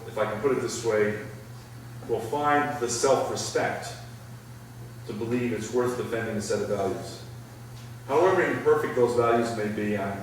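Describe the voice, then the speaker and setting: Halting middle-aged man in an echoey hall. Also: on the low side. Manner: thoughtful, halting, many restarts. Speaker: guest speaker answering audience questions. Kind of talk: Q&A at a public talk. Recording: echoey hall, far from the mic, faint noise.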